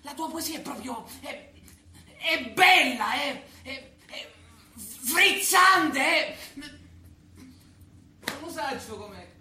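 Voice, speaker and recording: A man acting a bombastic, excitable amateur scholar in a stage comedy, grandiose and emphatic. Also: very high-pitched, takes it slowly, long pauses, exaggerated voice. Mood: gushing. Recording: echoey theatre, faint noise.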